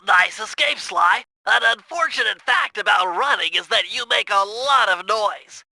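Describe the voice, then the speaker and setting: A man's voice: high voice, lively intonation, measured pace, creaky, sharp tone, dry recording, heavily acted. A man voicing a brainy, fussy turtle mission planner in a video game, nasal and precise, explaining things in wordy technical terms. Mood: coaching, confident. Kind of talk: video game tutorial voice acting.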